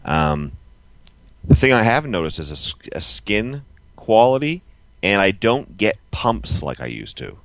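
A man in his forties co-hosting a podcast, chatting casually and emphatically in a halting, repetitive way. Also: fairly low pitch, sing-song, creaky, dry recording. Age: 40s. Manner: halting, emphatic, repeats himself. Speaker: podcast co-host. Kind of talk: casual opinionated chat.

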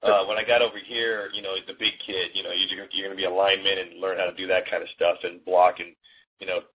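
Low husky man on a phone line. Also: quick pace. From born in Hong Kong, raised in the US. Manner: rambling, relaxed, many fillers. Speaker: collegiate strength coach, guest. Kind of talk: casual interview chat. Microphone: phone call.

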